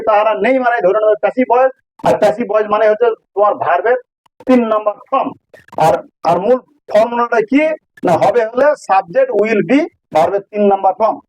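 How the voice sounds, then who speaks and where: A man's voice: very high-pitched, darkish tone, dry recording, low-quality recording. A man with a Bengali accent teaching a grammar lesson informally, explaining step by step and repeating phrases for emphasis.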